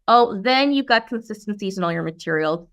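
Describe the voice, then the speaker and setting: A woman's voice: mid-range voice, lively intonation, a little fast, a bit bright. A woman speaking as an interview guest and brand strategist, explaining in an emphatic, coaching way with rhetorical questions. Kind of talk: explanatory business interview talk.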